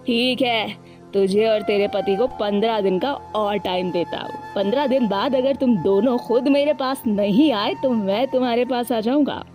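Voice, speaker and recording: A woman's voice: a little high, normal speed, a little mellow, close to an everyday voice. Woman as cartoon crow moneylender: arrogant male bully, threatening, demanding, music behind.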